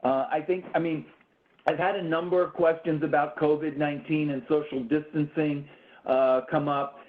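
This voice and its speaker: Casting: male moderator, polite and halting, tinny phone-like video call sound. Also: a little high, level tone. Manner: polite, hesitant, restarts.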